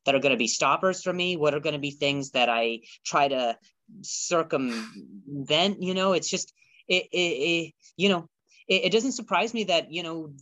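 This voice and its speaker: A man with a bright, forceful voice and a stutter talks as a podcast guest, circling back and restarting his phrases. He sits somewhat high for a man. The sound is close and dry, with almost no room around him. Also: no room sound. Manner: halting, many restarts and repeated words. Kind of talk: reflective conversation.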